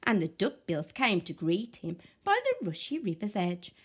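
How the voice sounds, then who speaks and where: A woman teacher reading a picture book aloud in an expressive, sing-song way. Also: medium pitch, moderate pace, breathy edge. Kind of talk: storybook read-aloud.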